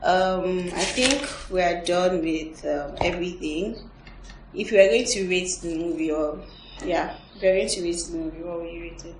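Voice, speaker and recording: Lowish-voiced woman hosting, distant in echoey room. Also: normal speed. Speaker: host of a team film review. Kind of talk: casual panel discussion. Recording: echoey room, off the mic, faint noise.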